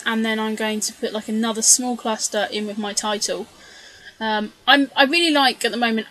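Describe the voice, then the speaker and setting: Bright, chatty woman narrating crafts. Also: on the high side, low-quality recording. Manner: chatty, rambling, many ums and self-corrections. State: slightly losing her voice. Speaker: scrapbooking video creator. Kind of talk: casual voice-over narration of a craft process.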